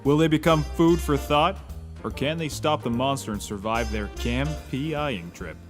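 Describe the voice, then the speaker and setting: Male announcer: mid-pitched, theatrical suspense-serial read, clear guitar music behind. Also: normal speed, lightly acted. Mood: dramatic. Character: melodramatic show announcer. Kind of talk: dramatic scripted episode introduction.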